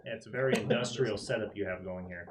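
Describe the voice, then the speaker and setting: A man with a fairly low voice plays a tabletop roleplaying game. He talks in a low-key, conversational way, slipping casually between character dialogue and table chat. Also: fast.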